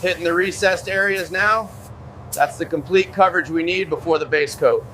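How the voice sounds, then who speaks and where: A man's voice: high voice, moderate pace, bright, forceful tone, a little hiss or hum, low-quality recording. A man giving scripted process commentary in terse, approving remarks. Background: background music.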